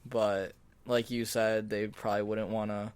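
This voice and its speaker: A man's voice: fairly low pitch, flat delivery, measured pace, smooth. A man co-hosting a podcast, talking in an informal, conversational way. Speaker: podcast co-host. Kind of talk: casual chat.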